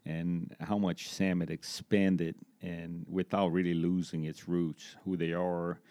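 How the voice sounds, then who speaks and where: A man's voice: low voice, little pitch movement, takes it slowly, darkish tone, dry recording. A man in his forties from Brazil with a Brazilian accent, a native Portuguese speaker, answering interview questions conversationally as a guest. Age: 40s. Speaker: interview guest, corporate safety executive.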